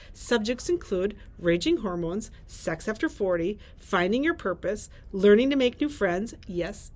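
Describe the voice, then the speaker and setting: A middle-aged woman, a librarian, presenting book picks and reading blurbs aloud into a camera in a library. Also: a little high. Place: library room with bookshelves.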